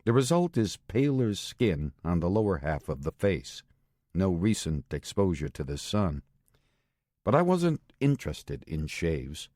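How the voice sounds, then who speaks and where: A low-pitched American man narrates a thriller novel in short, clipped phrases. His delivery is deliberate and terse, as scripted first-person storytelling. The recording is very dry and close, with no room sound. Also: a little mellow, no echo. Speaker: audiobook narrator. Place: studio.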